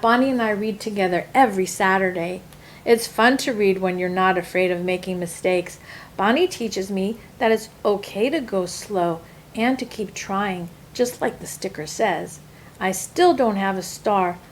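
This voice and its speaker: A woman reading a children's picture book aloud in an expressive storytelling style, with deliberate phrasing. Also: medium pitch, a little hiss or hum, poor audio.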